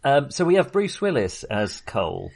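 A man with an average-pitched voice talks casually about films as a podcast co-host. He rambles a little and hesitates, working out his thoughts as he speaks. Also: huge pitch swings, moderate pace, vocal fry, darkish tone, breathy edge. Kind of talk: casual film discussion.

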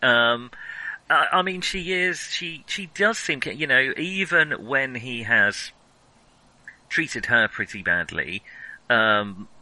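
A man hosting a casual film discussion, talking in a hesitant, halting way as he thinks aloud. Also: medium pitch, lively intonation, bright, forceful tone, no room sound, poor audio. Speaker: film podcast host.

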